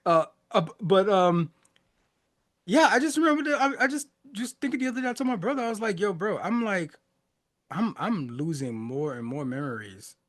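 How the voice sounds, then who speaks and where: Man in his forties, fairly high voice, loose casual talk, frequent laughs, restarts. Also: lively intonation, normal speed, a bit bright. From born in Haiti, raised in the United States. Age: 40s. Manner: laughs often, loose and conversational, many restarts and fillers. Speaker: music reaction podcast host. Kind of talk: casual reflective monologue.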